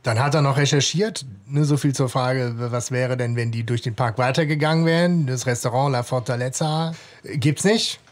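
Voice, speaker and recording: A man's voice: mid-range voice, normal speed. A man co-hosting a podcast, casually recapping and commenting on a story. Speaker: podcast co-host.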